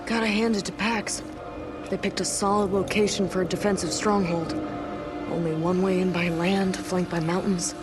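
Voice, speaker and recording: Woman playing a tough female commando, crisp radio talk, mid-pitched, video game. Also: level tone, normal speed, lightly acted. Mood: calm. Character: tough female special forces soldier. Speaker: special forces soldier, radio operator. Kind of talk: video game cutscene acting. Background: game music.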